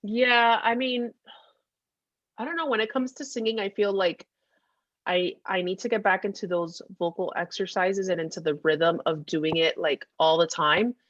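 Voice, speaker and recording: A woman's voice: medium pitch, steady tone, moderate pace, a bit bright, no room sound, dull audio. A woman co-hosting a casual chat podcast, speaking in an upbeat, encouraging and emphatic way. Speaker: podcast co-host.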